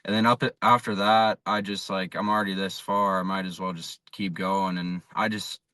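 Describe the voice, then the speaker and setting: A man's voice: sits low, flat delivery, moderate pace, bright, forceful tone, no room sound. A young man from South Dakota with an American accent, giving plain-spoken, casual answers as a baseball player being interviewed. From South Dakota, USA. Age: young adult. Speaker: college baseball pitcher, interview guest.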